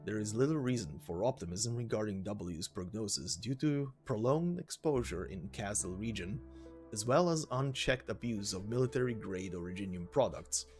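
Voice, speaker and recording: A man's voice: lowish voice, animated, moderate pace, darkish tone. Casual male gaming narrator over music. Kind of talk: casual commentary, reading game lore aloud. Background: background music.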